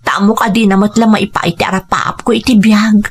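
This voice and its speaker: A woman playing an ambitious young woman dreaming of a rich suitor in a Filipino audio drama, with breathy asides and hesitant confessions. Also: mid-range voice, fairly even intonation, fairly quick. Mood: dreamy, confiding.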